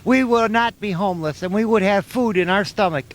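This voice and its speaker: A man's voice: sits high, brisk. An earnest, plain-spoken man giving an impromptu interview outdoors on the street. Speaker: homeless passer-by being interviewed. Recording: noisy street remote.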